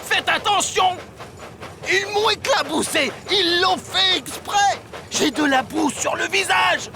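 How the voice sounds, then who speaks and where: A man's voice: very high-pitched, takes it slowly, gravelly, noisy background, exaggerated voice. A man voicing a small, proud, sulky talking steam engine in a children's cartoon, with a petulant, whining, exclamatory delivery. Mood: indignant. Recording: music playing behind.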